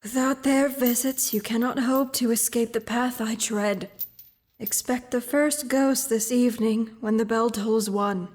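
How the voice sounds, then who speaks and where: An actor voicing the mournful ghost of an old businessman bound in chains, in a student radio drama, speaking solemnly and dropping into breathy whispers. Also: extremely high pitch, fairly even intonation, measured pace, darkish tone.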